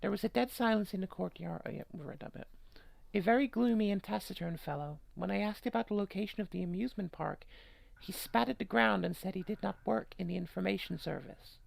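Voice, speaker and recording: Very creaky, irreverent woman narrating a game. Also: mid-range voice, fairly quick, dry recording. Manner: irreverent, joking, sweary. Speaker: video game streamer reading aloud. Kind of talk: game playthrough, narration mixed with casual commentary.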